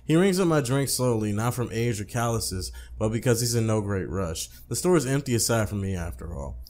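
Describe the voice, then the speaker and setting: A man's voice: on the low side, lively intonation, a little fast, a little mellow. A man hosting a let's play, reading a visual novel aloud conversationally, with casual asides and stumbled, repeated phrases. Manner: conversational, stumbles and rereads lines.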